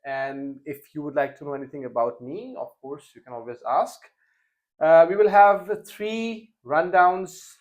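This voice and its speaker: A man's voice: a little high, measured pace, highs cut off. A young man hosting a casual interview podcast, talking with frequent fillers and restarts. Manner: hesitant, many fillers and restarts. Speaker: podcast host.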